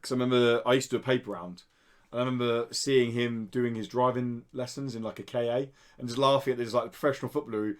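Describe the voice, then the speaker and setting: A British man in his early thirties speaks quickly in an ordinary mid-range voice. He sounds like a podcast co-host in casual conversation with friends. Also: medium pitch, steady tone. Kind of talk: casual chat.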